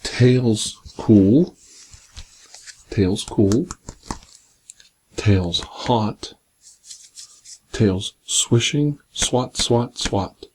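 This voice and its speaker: A man reading a picture book aloud in a soft, gentle, partly whispered ASMR bedtime style with casual asides, close to the microphone. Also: on the low side, drawn-out words, pauses often, rough recording. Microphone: close binaural microphone. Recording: clear background noise, binaural ASMR microphone.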